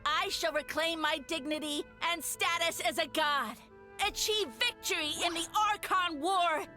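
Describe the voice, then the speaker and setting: A self-important fungus deity in a video game, scheming and easily flustered. The voice is high and sharp, with haughty, theatrical diction and faint music behind it. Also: female voice, unhurried, full character voice. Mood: smug scheming. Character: small pompous mushroom god, grandiose, scheming, easily flustered villain. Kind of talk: scripted video game character acting.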